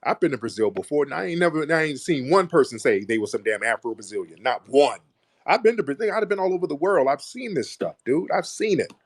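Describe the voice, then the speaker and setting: A man hosting a heated call-in debate, lecturing forcefully and repeating his points for emphasis. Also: a little high, sing-song, fast talker, husky, no room sound. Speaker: host.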